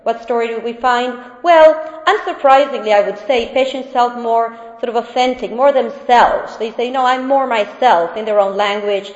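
A woman's voice: on the high side, moderate pace, few pauses, a little mellow. A woman with an Argentine accent, a native Spanish speaker, presenting research findings in an academic talk, hesitant with many fillers and restarts. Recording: echoey hall, dull sound.

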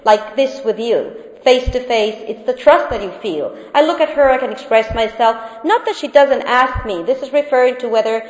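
A woman's voice: fairly high pitch, moderate pace, hardly any pauses. A woman with an Argentine accent, a native Spanish speaker, presenting research findings in an academic talk, hesitant with many fillers and restarts. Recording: echoey hall, dull sound.

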